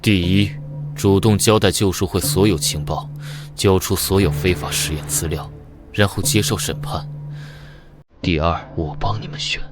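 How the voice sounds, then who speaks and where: A man playing a cold, commanding superpowered avenger in a motion comic, speaking with calm, deliberate menace. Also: sits low, music in the background, natural voice. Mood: calm menacing. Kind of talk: dramatic Chinese motion-comic voice acting.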